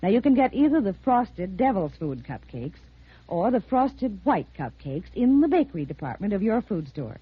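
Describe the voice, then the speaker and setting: A woman's voice: mid-range voice, big pitch swings, moderate pace, husky, no room sound. An American woman reading a 1950s radio advertisement as a home economist, formal, carefully enunciated and instructive. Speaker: home economist giving a sponsor message. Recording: muffled 1950s radio recording.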